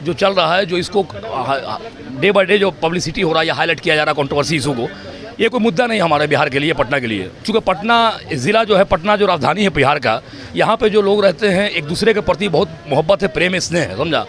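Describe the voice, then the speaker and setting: A man's voice: fairly high pitch, expressive, fast, fairly bright tone. A man with a Bihari accent in a street interview, speaking formally and emphatically, piling up synonyms. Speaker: local resident interviewed. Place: outdoor street. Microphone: handheld reporter microphone.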